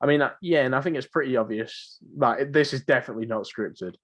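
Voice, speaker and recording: A man's voice: mid-range voice, fast talker. A man with a mid-range voice chats casually as a podcast co-host. He speaks off the cuff, drifting along in slangy, jokey phrases. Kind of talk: unscripted casual podcast chat.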